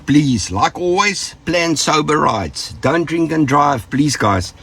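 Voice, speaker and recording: A man's voice: on the high side, expressive, slow pace, vocal fry, a bit bright, a little hiss or hum. A South African man with the flu, giving casual, joking safety tips to his viewers and rambling off with trailing asides. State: sick with flu, rough voice. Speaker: vlogger.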